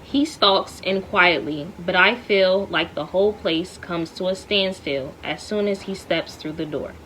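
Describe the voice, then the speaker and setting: A woman's voice: on the low side, measured pace, a little hiss or hum, low-quality recording. A woman reading a children's novel aloud to her children, lifting her voice to mark the dialogue. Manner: lively, lifts her voice for quoted dialogue.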